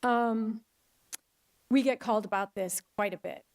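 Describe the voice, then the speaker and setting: A middle-aged woman giving an enthusiastic talk at a podium in a large lecture auditorium. Her voice is loud and a bit high, with a gravelly fry. She keeps it chatty and loose, with plenty of ums. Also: fairly even intonation, a little slow. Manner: chatty, enthusiastic, many fillers. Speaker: hospital risk manager and attorney. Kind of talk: conference talk.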